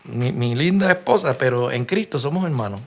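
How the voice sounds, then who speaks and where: A man's voice: medium pitch, animated, moderate pace, a little hiss or hum. A man with a Puerto Rican accent preaching a sermon, telling stories earnestly and asking rhetorical questions. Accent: Puerto Rican Spanish. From Puerto Rico. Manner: earnest storytelling, frequent restarts and rhetorical questions.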